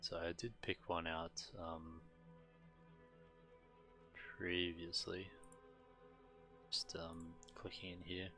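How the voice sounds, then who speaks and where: A man streaming a video game, reading on-screen text and commenting casually in a measured, subdued way. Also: low-pitched, little pitch movement, creaky, pushed and bright, soft background music.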